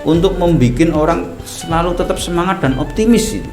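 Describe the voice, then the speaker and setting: A middle-aged man from Banyumas, a media director speaking as a podcast guest in a relaxed, talkative way with frequent fillers. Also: fairly high pitch, brisk. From Purbalingga, Banyumas, Central Java. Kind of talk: casual podcast interview. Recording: echoey room with music underneath.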